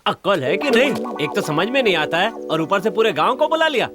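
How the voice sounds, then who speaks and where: A man's voice: sits high, sing-song, a little fast, over music. An actor playing a brash village man, brusque and commanding as he scolds people. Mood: bossy, scolding.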